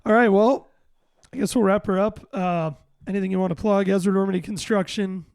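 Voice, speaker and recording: A young man with a raspy, hoarse voice hosting a casual podcast, rambling and joking through quick restarts. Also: high voice, fairly even intonation, a little mellow, no room sound, studio quality. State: hoarse, voice worn out. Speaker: podcast host.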